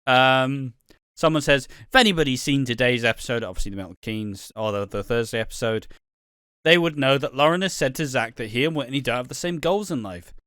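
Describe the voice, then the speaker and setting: A man from England near the Welsh border co-hosting a casual podcast, relaxed, laughing often and trailing off mid-sentence. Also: medium pitch, fairly quick, clean tone, no echo, clean studio sound. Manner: laid-back, laughs often, trails off and restarts. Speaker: podcast co-host.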